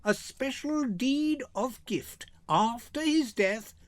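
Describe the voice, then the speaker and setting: An older man puts on a saucy young lady's maid from a Shakespeare comedy. She is playful and theatrical, with very mobile pitch and a dry, close sound. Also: lively intonation, slow, dry recording, full character voice. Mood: pleased. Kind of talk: dramatic Shakespeare reading.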